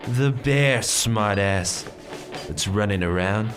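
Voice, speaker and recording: A man's voice: fairly low pitch, takes it slowly, music in the background. An actor playing an envious, cocky man with a sardonic, grumbling way of talking in an audio drama. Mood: irritated.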